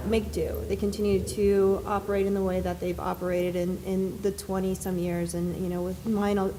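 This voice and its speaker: A soft-spoken woman on a panel, answering at length with many fillers and restarts. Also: medium pitch, a little hiss or hum. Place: conference hall. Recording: distant reverberant hall with faint ambience.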